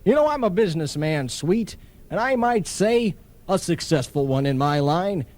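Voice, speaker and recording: A man's voice: sits high, very animated, normal speed, darkish tone. A man playing a vain, blustering middle-aged businessman, self-important and prone to flustered stammers, in an old-time radio comedy. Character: pompous, blustering henpecked businessman, vain and gullible.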